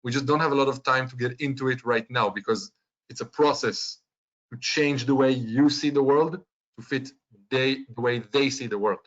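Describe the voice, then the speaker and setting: A young man giving an informal lecture to students, talking conversationally and often pausing with ums and restarts. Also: medium pitch. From Israel. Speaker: lecturer and podcast co-host. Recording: dull lo-fi recording.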